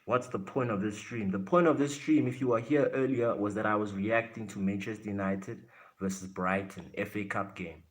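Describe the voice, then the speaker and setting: A man's voice: fairly low pitch, fairly even intonation, normal speed. A man from Africa, chatting casually on a livestream and answering viewer comments bluntly, swearing and trash-talking as he goes. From South Africa. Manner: blunt, brash, swears and trash-talks chat.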